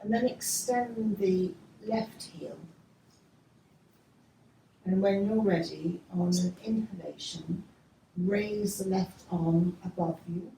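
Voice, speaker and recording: Female yoga teacher, lowish creaky voice, gentle guided-relaxation delivery, recorded in an echoey room. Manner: gentle, unhurried instructing with soft trailing phrases. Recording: distant mic, echoey room, faint noise.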